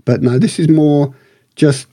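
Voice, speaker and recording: A man with a relaxed, husky voice chats through a review on a podcast, recorded dry and close. He rambles enthusiastically, often correcting himself as he goes. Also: a little high, unhurried, no echo. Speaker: podcast co-host reviewing books and radio dramas. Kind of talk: casual review chat.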